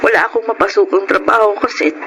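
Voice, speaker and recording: A woman's voice: medium pitch, fast talker, background noise, lightly acted. A woman with a Filipino accent playing a poor, self-sacrificing mother in an emotive radio drama. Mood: apologetic, sad. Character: poor, burn-scarred mother, tender, self-sacrificing and sorrowful.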